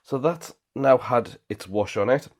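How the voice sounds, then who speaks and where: A man with a bunged-up, slightly breathy voice from a cold, chatting casually through a hobby how-to in his garage workshop. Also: mid-range voice, measured pace. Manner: chatty, relaxed, talks through each step with fillers. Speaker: channel host and model railway builder. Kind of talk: casual how-to vlog narration.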